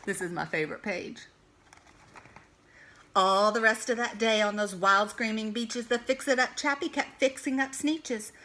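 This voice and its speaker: A woman's voice: a little high, unhurried, pushed and bright. A woman reading a children's storybook aloud expressively, like a teacher to young pupils.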